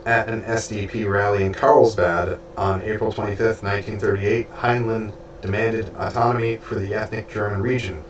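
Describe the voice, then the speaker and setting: A man reading a book aloud with spoken asides, stuffy and losing his voice, halting and stumbling over names. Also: on the low side, level tone, highs cut off. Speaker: audiobook reader and streamer. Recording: roomy, distant from mic, faint background hiss.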